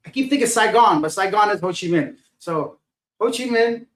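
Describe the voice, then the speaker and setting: A middle-aged man with a slightly raised pitch chats casually on a livestream, reading comments and answering them. He rambles and loses his thread, poking fun at his own foggy, tired state. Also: lively intonation, moderate pace. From Afghanistan. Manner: rambling, loses his train of thought, self-deprecating. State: tired and unfocused. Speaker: livestream host reading chat. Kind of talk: casual live chat.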